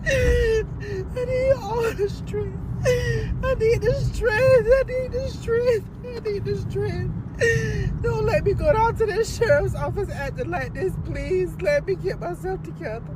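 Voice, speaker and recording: A tearful, distraught mother talks in a very high voice, her words slow and dragged out. She swears constantly between sobs. Road and engine noise from the car fills the background. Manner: crying, distraught, swearing heavily. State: crying. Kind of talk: emotional vlog monologue.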